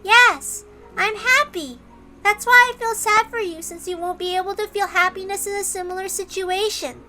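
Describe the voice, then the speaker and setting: A little girl, with a very high, piercingly bright voice over clear background music. She is childlike, eerily serene yet quick to excitement, as in a visual novel. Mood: solemn, serene. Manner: childlike, repeats herself in excitement.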